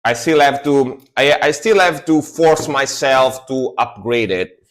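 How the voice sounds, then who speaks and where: A man's voice: mid-range voice, unhurried. A man in his thirties with an Italian accent, a native Italian speaker, chatting casually as a livestream host in a rambling way, often repeating his phrases. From Italy.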